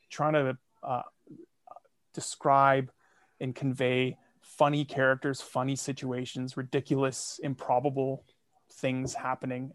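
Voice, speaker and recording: Gravelly, flat-voiced podcaster man. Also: medium pitch, no echo. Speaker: podcast guest, comedy fiction podcaster. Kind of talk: casual interview.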